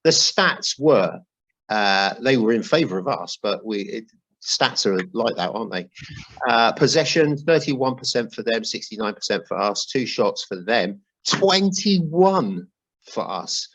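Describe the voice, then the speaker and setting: A man's voice: medium pitch, lively intonation, measured pace, a bit bright, rough recording. A man hosting a football podcast in a chatty way with wry asides, reading out notes and emails. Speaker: podcast host.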